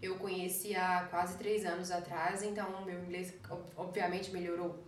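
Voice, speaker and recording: A woman's voice: lowish voice, level tone, moderate pace. A young Brazilian woman co-hosting a podcast in a casual, conversational way, speaking with a Brazilian accent. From Brazil, Caetité in Bahia. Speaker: podcast co-host. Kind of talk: casual chat. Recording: echoey room, distant mic, light noise.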